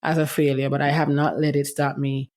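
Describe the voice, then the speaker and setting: A woman's voice: very deep voice, level tone, fairly quick, a little mellow. Chatty woman hosting a podcast. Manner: candid, chatty, often says "let me tell you". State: voice affected by medication. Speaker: podcast host. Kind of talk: casual solo podcast chat.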